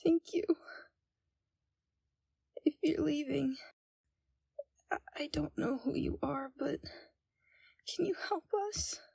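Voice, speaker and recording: A woman playing a timid, frightened young captive in an audio roleplay, speaking in a hesitant, hushed and halting way. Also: sits high, creaky, no echo, clean studio sound, full character voice. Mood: pleading, tearful. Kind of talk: scripted audio roleplay, ASMR.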